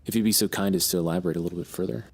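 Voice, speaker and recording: A man's voice: sits low, racing, vocal fry, breathy edge, heavily acted. A man playing a troubled, sardonic writer in an audio drama, speaking in wordy, mannered, slightly hesitant phrases. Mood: courteous, wry.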